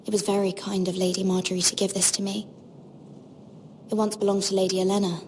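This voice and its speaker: Gentle, guarded young noblewoman, played by an actress. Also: medium pitch, little pitch movement, quick pace, slight background noise, close to an everyday voice. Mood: wistful. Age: young adult. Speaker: voice actor. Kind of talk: dramatic video game acting. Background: music.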